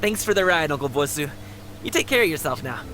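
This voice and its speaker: A woman voicing a friendly, energetic and chatty young female guard in a video game, with a casual, considerate way of talking. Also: low voice, fast, background noise. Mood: chatty, cheerful. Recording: game music underneath.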